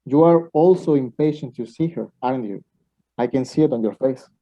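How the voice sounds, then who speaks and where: A man's voice: medium pitch, brisk, mellow, lightly acted. Man playing an anxious lovestruck student, muffled lo-fi sound. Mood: earnest, defensive. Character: anxious, impatient lovestruck young male student. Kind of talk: amateur radio play acting. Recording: dull lo-fi home recording.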